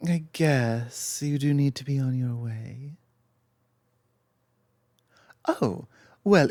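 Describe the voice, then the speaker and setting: Woman acting as a loving lamia girlfriend, low-pitched, expressive swings in intonation, soft, teasing, confident, intimate ASMR roleplay. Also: no echo, full character voice. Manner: soft, teasing, unhurried with playful asides.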